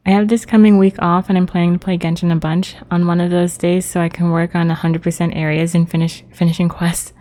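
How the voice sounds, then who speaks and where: A woman's voice: lowish voice, level tone, brisk, hardly any pauses, warm tone. A woman livestreamer chatting casually with viewers as she plays a video game. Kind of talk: casual livestream chat with viewers while playing.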